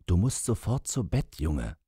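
A man voicing a clumsy, apologetic father who pleads with his son in a warm tone. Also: deep voice, big pitch swings, measured pace, breathy edge, dry recording, clean studio sound, exaggerated voice. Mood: urging.

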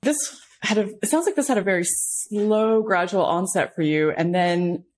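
A woman's voice: medium pitch, moderate pace, darkish tone, slight background noise. A woman in her thirties hosting an interview over a video call from her living room, upbeat and keen. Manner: upbeat, enthusiastic, asks open questions. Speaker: host. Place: home living room, indoors. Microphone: video call webcam.

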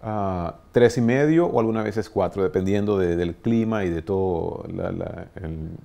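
A man in his thirties from Venezuela with a Venezuelan Spanish accent, an orchestral clarinetist introducing himself to camera in a small shop studio, speaking unhurriedly with many pauses and fillers. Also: sits low, creaky, warm tone. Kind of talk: relaxed self-introduction to camera.

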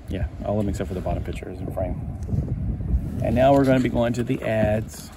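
A man's voice: on the low side, fast, noisy background. A man casually chatting to himself as he flips through a collector magazine, rambling and easily delighted. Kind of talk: casual chat over a magazine flip-through. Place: indoors at a table.

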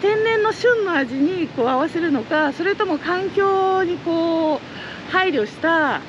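A woman's voice: very high voice, slow, highs cut off, noisy background. A middle-aged woman who runs a trout farm, talking earnestly and chattily outdoors beside fish ponds in an interview. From Japan. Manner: lively, chatty and earnest, with many fillers.

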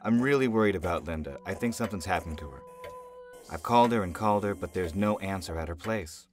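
A man's voice: low-pitched, fast, natural voice. An actor playing an anxious, insistent male scientist in an audio drama, earnest and emotional. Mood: worried.

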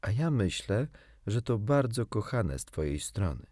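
A prim little storybook girl, voiced by a man, proper and a bit haughty but kindly. The intonation is highly animated and the sound is dry. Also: unhurried, no room sound. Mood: caring, curious. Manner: proper, indignant, caring.

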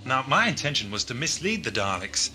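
A man's voice: medium pitch, big pitch swings, fairly quick, crowd noise, lightly acted. A man playing a genial, absent-minded time-travelling Doctor in a sci-fi audio drama, musing and hesitant. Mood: troubled musing. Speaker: actor. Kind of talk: 1980s amateur sci-fi audio drama acting. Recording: noisy old cassette audio drama.

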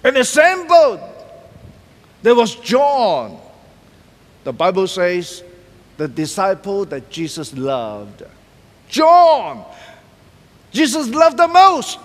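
Animated pastor preaching in a reverberant hall. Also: male voice, high-pitched. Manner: emphatic, repeats key words, pacing and gesturing widely. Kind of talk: sermon. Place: large church stage decorated for Christmas.

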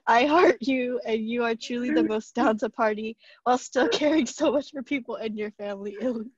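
A woman's voice: on the high side, brisk, breathy edge, dry recording. A young woman casually reading tributes aloud over a video call. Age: young adult. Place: indoor room at home on a video call. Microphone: video call laptop mic. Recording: dull, lo-fi video call audio.

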